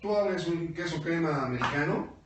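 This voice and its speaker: A man's voice: a little high, expressive, normal speed, slight background noise. A Mexican man co-hosting a casual panel chat, telling wry stories with a Mexican Spanish accent. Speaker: co-host. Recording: very echoey room, mic at a distance.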